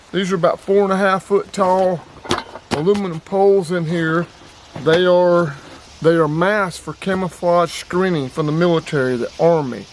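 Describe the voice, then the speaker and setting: A man giving a casual, plainspoken vlog demonstration outdoors, with emphatic repetition. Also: high voice. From North Carolina, US. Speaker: outdoors and radio hobby vlogger. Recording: clear background ambient noise.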